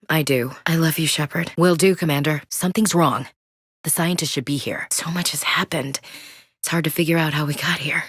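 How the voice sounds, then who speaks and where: A frank, steady woman soldier for a sci-fi video game. Her voice sits low and she speaks in plain, dry military terms. The studio recording is close and dead dry. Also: no room sound. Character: tough female human soldier, blunt and loyal. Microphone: close studio mic.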